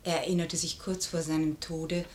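An actress playing a dignified, grieving officer's widow, formal and solemn, in an old German radio play. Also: female voice, low voice, level tone, moderate pace, a little mellow, close to an everyday voice. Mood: sorrowful, dignified. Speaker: radio drama actor. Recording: noisy old radio recording.

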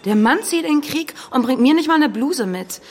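Cheeky, high-voiced young barmaid. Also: female voice, animated, normal speed, darkish tone. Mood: teasing. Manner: pert, teasing, drops into whispers. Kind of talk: German radio play acting.

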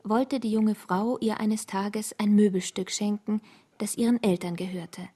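A woman narrating a literary radio play as a calm storyteller, measured and matter-of-fact. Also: mid-range voice, husky.

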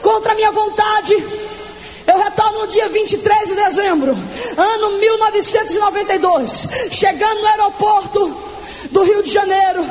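A woman in her twenties preaching her testimony in a fervent, shouting evangelical sermon, stretching words for emphasis. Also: extremely high pitch, fairly even intonation, moderate pace. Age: 20s.

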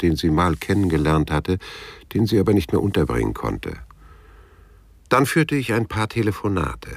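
An actor playing a cool, persistent private detective in a German radio crime drama, narrating and questioning in a dry, laconic, husky voice. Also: male voice, deep voice. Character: hard-boiled private detective narrating his own story, cool and persistent.

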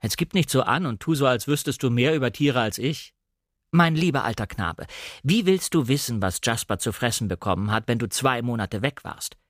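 A man's voice: mid-range voice, expressive, moderate pace, no echo, studio quality, close to an everyday voice. A man voicing a bossy, outspoken upper-class country woman in a dramatic novel reading. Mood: chiding. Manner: outspoken, chiding.

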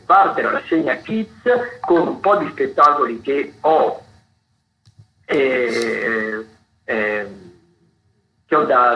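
A man hosting a radio show, recommending events off the cuff in a halting, rambling way and often correcting himself. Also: mid-range voice, highs cut off. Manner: halting, rambling, self-correcting mid-sentence. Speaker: radio host. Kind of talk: unscripted radio recommendations of theatre and dance events.

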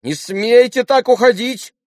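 Man doing a thin, very high teenage girl's voice. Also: sing-song, measured pace, no room sound. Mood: demanding. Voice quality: thin little voice. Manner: shrill, demanding exclamations. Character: imperious, excitable teenage girl pestering her mother. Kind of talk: audiobook classic novel dialogue.

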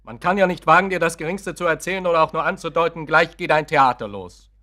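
An actor playing an irritable, evasive, self-pitying grown son in a German radio drama, sullen and snappish. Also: male voice, on the high side, fast talker, pushed and bright. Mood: exasperated.

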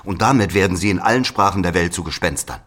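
An actor playing an embittered older Algerian man recounting his murdered brother in a deliberate radio drama monologue. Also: low-pitched, lively intonation, fairly bright tone. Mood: bitter.

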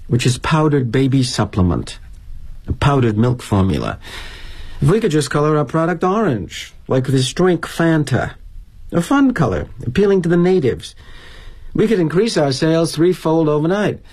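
An actor playing a jaded middle-aged corporate executive, delivering a darkly wry, self-justifying stage-style monologue with arch, mannered phrasing. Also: male voice, fairly high pitch, sing-song, normal speed, darkish tone, husky. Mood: gleefully sardonic. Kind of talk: dramatic monologue, theatrical storytelling.

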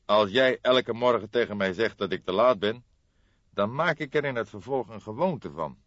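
A man voicing a calm, probing old male police detective with a deliberate, insistent manner in an audiobook. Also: on the low side, no echo, muffled recording. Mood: wry, interested. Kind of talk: audiobook character dialogue.

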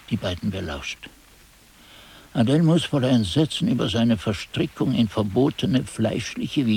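Elderly monk narrator for radio drama: low register, wide swings from mutter to exclamation, forgetful and haunted. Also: male voice, a little mellow, slight background noise. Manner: halting self-talk, swinging from muttering to exclaiming. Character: aged monk chronicler, forgetful, haunted, musing over his manuscript.

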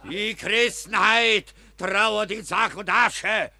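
A bright, gravelly voice that hammers out each word slowly. a zealous army preacher in a radio play, thundering sermon-style rebukes at idle soldiers. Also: male voice, high-pitched, no room sound, studio quality, heavily acted. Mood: scolding. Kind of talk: classic radio drama.

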